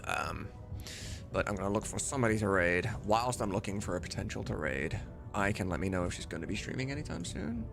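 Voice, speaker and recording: A man's voice: on the low side, very animated, fairly quick, vocal fry, music in the background. A man chatting casually and informally as a livestream host, with some mild swearing. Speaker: livestreamer and host of a game voice-dubbing mod team.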